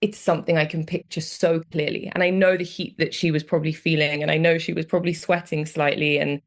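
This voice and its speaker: Young British woman, podcast guest, slightly low voice, thoughtful storytelling with lively bursts. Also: fast talker. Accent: British English. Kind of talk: conversational podcast chat.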